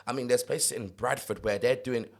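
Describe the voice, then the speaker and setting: A young man from South London with a London accent, co-hosting a casual podcast chat, animated and repeating words as he gets going. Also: medium pitch, normal speed, vocal fry. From South London, England. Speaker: podcast co-host.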